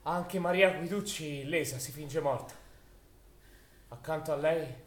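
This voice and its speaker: An actor playing a grave chronicler of a wartime massacre in a dramatic stage narration. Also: male voice, on the high side, unhurried, close to an everyday voice. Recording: roomy stage sound, faint noise.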